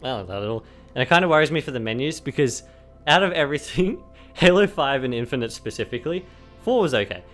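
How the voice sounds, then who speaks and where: A young man co-hosting a casual gaming podcast, giggling and rambling with lots of fillers while his voice is going hoarse. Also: mid-range voice, expressive, fast talker, a bit bright, faint music behind. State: losing his voice. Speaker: podcast co-host. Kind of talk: casual chat.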